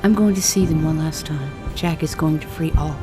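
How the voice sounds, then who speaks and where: A woman's voice: low voice, moderate pace, music in the background, close to an everyday voice. A woman playing a weary, resolute celestial spellcaster in a fantasy audio story, speaking in a terse, dry, determined way.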